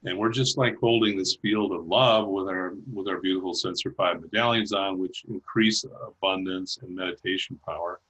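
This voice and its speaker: A man speaking as a guest over a video call, talking haltingly with many restarts and light laughs. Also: low voice, steady tone, fairly bright tone, breathy edge, low-quality recording. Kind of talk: casual chat.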